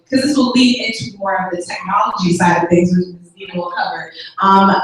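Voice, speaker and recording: A woman's voice: medium pitch, fairly bright tone. A woman moderating a panel who chats encouragingly to the audience. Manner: encouraging, chatty. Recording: distant, roomy, faint noise.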